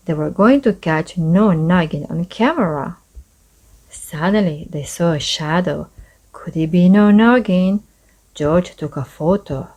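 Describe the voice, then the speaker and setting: Slow, lower-pitched woman reading a storybook. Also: a little mellow, rough recording. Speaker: storybook reader. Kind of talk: children's read-aloud narration.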